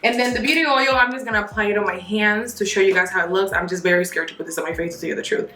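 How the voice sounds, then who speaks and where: A woman's voice: medium pitch, racing, roomy sound, a little hiss or hum. A young woman reviewing skincare products in a casual, candid chat, speaking to a camera close in front of her at home. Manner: chatty, candid, animated asides. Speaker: beauty vlogger. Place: home bathroom, indoors.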